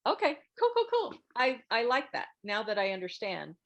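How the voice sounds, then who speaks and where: A woman hosting an online group critique, giving frank, thoughtful script feedback in a halting, casual way over a video call. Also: a little high, big pitch swings. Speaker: host of a table read meet-up giving script feedback. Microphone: video call microphone.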